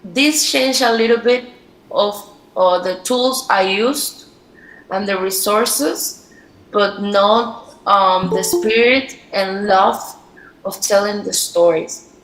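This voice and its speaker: A woman from Panama with a Spanish accent, giving a halting online talk as a storyteller. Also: medium pitch, fairly bright tone, echoey room, a little hiss or hum, rough recording. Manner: slow, halting, many fillers. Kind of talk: online presentation. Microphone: video call.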